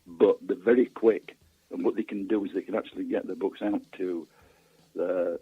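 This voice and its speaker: A man's voice: deep voice, fairly even intonation, normal speed, husky, no echo. A man speaking as a guest author in a casual interview, hesitant and stammering over repeated words, on a thin phone line.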